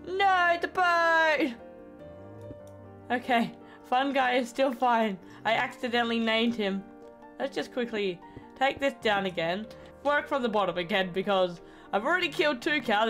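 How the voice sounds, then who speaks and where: A woman's voice: on the high side, fairly bright tone. A young woman giving casual, chatty commentary while playing a game and narrating each step. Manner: chatty, upbeat, self-narrating play. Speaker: gaming channel host. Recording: faint background music.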